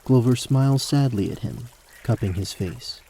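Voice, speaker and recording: Male game master narrator, deeply mellow tone, mid pitch, scripted fantasy storytelling. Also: moderate pace, husky. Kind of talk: present-tense story narration for tabletop audio drama.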